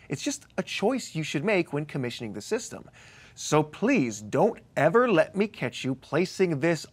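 A man, presenting scripted instructional narration in a conversational, wry and emphatic manner. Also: a little high. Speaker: training presenter.

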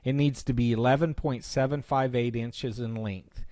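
Man narrating a dry, close software tutorial. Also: medium pitch, fairly even intonation, moderate pace, no room sound. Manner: clear step-by-step explaining. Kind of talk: instructional screencast narration.

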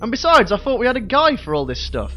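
An actor playing a put-upon, eager young superhero sidekick in a comedy audio drama. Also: male voice, very high-pitched, huge pitch swings, fast talker, sharp tone, soft background music, full character voice.

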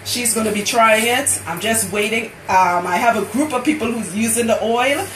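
A woman's voice: fairly high pitch, moderate pace, reverberant, slight background noise, poor audio. A woman with a Trinidadian accent, chatting boisterously on a live stream while working as a loctician in a hair salon. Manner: boisterous, animated, laughing. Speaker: loctician host.

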